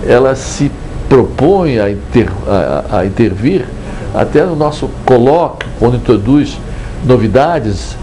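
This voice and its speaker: An older Brazilian man, an author speaking reflectively in a measured, digressive way with hesitant restarts. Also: medium pitch, expressive, dark tone, slight background noise, low-quality recording. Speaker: book author. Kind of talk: reflective interview monologue.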